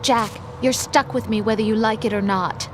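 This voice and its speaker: An actress playing a young intelligence analyst in a video game, protective and headstrong, speaking in an earnest, assertive but tender way. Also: female voice, a little high, steady tone, moderate pace, background noise, lightly acted. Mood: firm, defiant.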